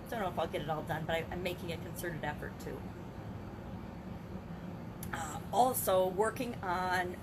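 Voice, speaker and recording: A woman's voice: on the low side, heavy background noise. An older woman and business coach talking through her day in a casual video journal, reflective, asking herself rhetorical questions and restarting her sentences.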